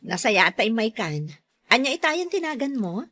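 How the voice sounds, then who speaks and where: A high female voice playing a younger sister in a radio drama. She is teasing and insistent, coaxing her stubborn brother along. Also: big pitch swings, moderate pace, pushed and bright, full character voice. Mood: cheerful, teasing. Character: patient's younger sister, bright, teasing, insistent office worker. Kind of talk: Filipino radio drama acting.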